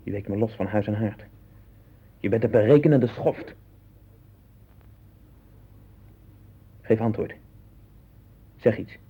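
An actor playing a tormented, paranoid man in a 1960s Dutch radio drama, husky, speaking in obsessive, repeated short phrases. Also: lowish voice, moderate pace, narrow, tinny audio. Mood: angry accusing. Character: Len, tormented, paranoid man sinking into dwarf-haunted delusions.

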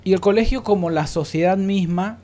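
A man's voice: sits high, normal speed, dark tone. A man hosting a panel discussion, speaking reflectively with emphatic repetition as he searches for words. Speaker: radio programme host.

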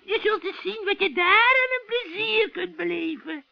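A man voicing a scheming old witch in a children's radio play, shrill and cackling, with sugary, wheedling friendliness. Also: vocal fry, dry recording. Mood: persuasive, sly. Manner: wheedling, drawn-out coaxing. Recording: dull, dry vintage radio recording.

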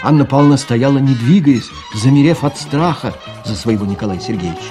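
A man's voice: medium pitch, brisk, loud music behind the voice. A man reading scripted literary narration for an audio play, telling the story expressively.

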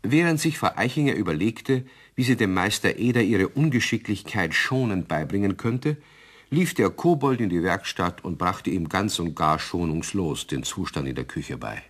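A man's voice: low voice, expressive, moderate pace, slightly rough. A man narrating a radio play, telling the story from a script.

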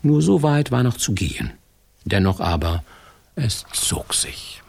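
A man narrating a fairy-tale radio play in a wry, playful storytelling manner with drawn-out emphasis. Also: medium pitch, big pitch swings, creaky, a little mellow, husky.

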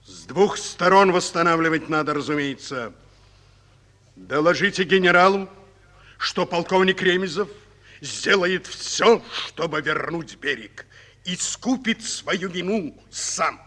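A man's voice: a little high, a little slow, bright, forceful tone. An actor playing a wounded Soviet colonel in a radio play, fatherly and commanding, with old-fashioned endearments. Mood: grave, resolute. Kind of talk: Russian radio play acting.

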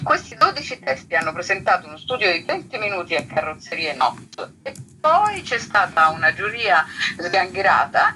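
A man speaks in a colloquial, playfully ironic way as a guest in a casual radio interview. His voice is strikingly bright and slightly high-pitched. There is some noise behind him on the recording. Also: rough recording. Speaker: interview guest, theatre project organizer and tutor.

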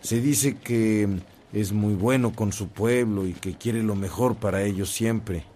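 Actor as a low-voiced, courteous priest. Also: male voice, steady tone, measured pace, a little hiss or hum, close to an everyday voice. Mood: polite, flattering. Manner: polite, soft-spoken courtesy. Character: gentle, courteous, devout priest with quiet humor. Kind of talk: Spanish-language radio drama acting.

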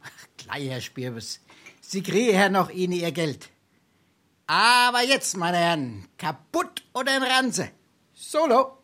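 A woman's voice: fairly low pitch, big pitch swings, slow pace, fairly bright tone, heavily acted. A woman playing a cheeky, boastful male tavern freeloader in a dialect comedy, grandiose and teasing, with a Darmstadt Hessian accent. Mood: blustering, evasive. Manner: grandiose, teasing, mock-formal.

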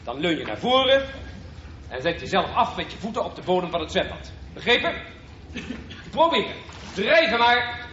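A brisk, encouraging swimming instructor in a radio drama. His voice is high for a man and swings in pitch. It rings with echo on a slightly dull recording. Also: big pitch swings, pushed and bright, a little hiss or hum, heavily acted. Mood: instructing, brisk. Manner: instructing, plain-spoken. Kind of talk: Dutch radio drama acting.

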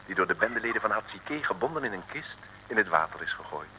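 An actor as the wry, theatrical storyteller of an old radio adventure serial. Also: male voice, lowish voice, big pitch swings, fast talker, bright, forceful tone, slight background noise, exaggerated voice, poor audio. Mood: wry, suspenseful. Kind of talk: theatrical scripted narration, 1950s-60s radio.

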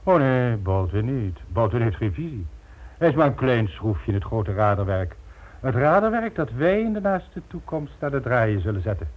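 An actor playing a megalomaniac, smugly polite criminal mastermind in a radio drama, making grandiose, condescending speeches. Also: male voice, mid-range voice, very animated, moderate pace. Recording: dull lo-fi old radio recording.

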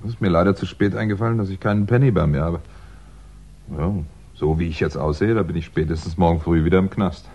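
An actor playing a wry, understated fugitive crime writer in a 1960s German radio play. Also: male voice, sits low, fast talker, darkish tone. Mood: wry, uneasy. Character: fugitive crime writer, dry, weary, polite man on the run. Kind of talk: 1960s German radio play acting and first-person narration. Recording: dull, noisy 1960s radio recording.

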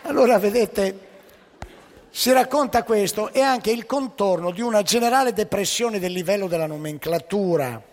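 A man telling satirical anecdotes as a stage monologue, with dramatic pauses and emphatic delivery. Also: high-pitched. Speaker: stage storyteller. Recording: live theatre hall with long reverb.

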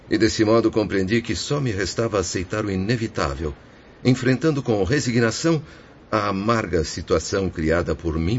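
Man acting as deep-voiced, remorse-ridden ruined merchant spirit. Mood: sorrowful, resigned. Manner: solemn, declamatory, formal. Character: remorseful male suicide spirit, ruined merchant, resigned yet anguished. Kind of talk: religious radio drama acting. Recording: dull lo-fi audio with faint noise.

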